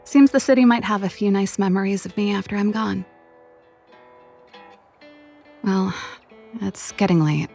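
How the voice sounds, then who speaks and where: A woman voicing a playful, teasing young big-sister inventor and musician in a video game, casual and expressive. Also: medium pitch, moderate pace, vocal fry, soft background music. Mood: casual, reflective.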